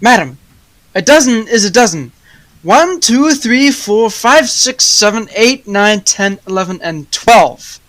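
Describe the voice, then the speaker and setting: Teen girl as a stingy bossy baker guy in a radio play, sharp forceful voice, scolds everything very dramatically. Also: medium pitch, animated, slow pace. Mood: angry, stubborn. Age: teenager. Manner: emphatic, theatrical, scolding. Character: proud, stingy, bossy male baker, quick to anger. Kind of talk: high school radio play acting.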